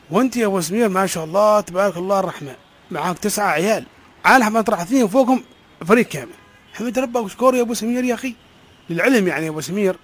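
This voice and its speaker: A man playing a pious neighbor who coaxes and lectures a friend in a comedy sketch. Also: high voice. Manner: coaxing, preachy.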